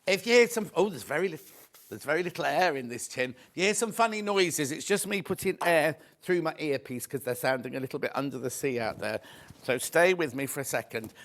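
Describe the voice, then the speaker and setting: Chatty guy hosting live tv shopping, kinda high voice, bit croaky. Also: lively intonation, brisk. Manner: chatty, reads viewer messages aloud warmly. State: slightly croaky voice. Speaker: shopping channel host.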